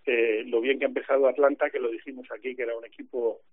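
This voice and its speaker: Tinny dry phone line, man, basketball analyst, mid-pitched voice, casual discussion. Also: level tone, fast, no echo.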